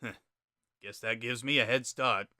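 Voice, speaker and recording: A man voicing a laid-back, wry skeleton older brother in a dramatic story reading, casual and deadpan. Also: medium pitch, moderate pace, no echo, clean studio sound. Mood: casual, offhand.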